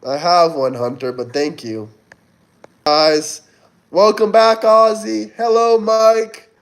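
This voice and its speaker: A man's voice: sits high, flat delivery, slow. Man in his twenties hosting a livestream. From Florida, US. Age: 20s. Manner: reads out and answers viewer chat, urging likes and tips. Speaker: livestream host. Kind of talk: casual livestream chat.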